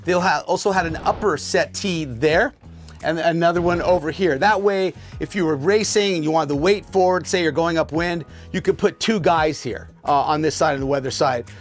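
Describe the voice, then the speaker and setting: A man's voice: a little high, normal speed, soft background music. A middle-aged man giving a casual, enthusiastic walkthrough tour of a sailboat in a marina. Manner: enthusiastic, talks while pointing and moving. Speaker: boat tour host. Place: aboard a sailboat in a marina, on deck and below.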